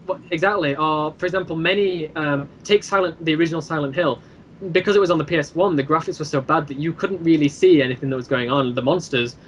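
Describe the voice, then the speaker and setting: A man's voice: fairly high pitch, fast talker. A man in casual discussion as a podcast guest, explaining his points and acting out imagined reactions. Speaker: podcast guest, creator of an audio drama series. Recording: muffled band-limited mic with clear background noise.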